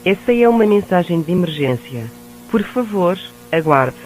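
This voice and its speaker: A man's voice: high-pitched, animated, normal speed, a little mellow, a little hiss or hum, poor audio. A man reading a scripted emergency announcement in a formal, synthetic-sounding way.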